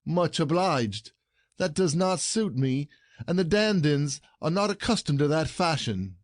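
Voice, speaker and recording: An indignant husband, acted by a man in a classic stage comedy audio play. His voice sits fairly high and is recorded dry and close. He scolds in formal phrasing, proud, jealous and sarcastic. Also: expressive, a little slow, dry recording.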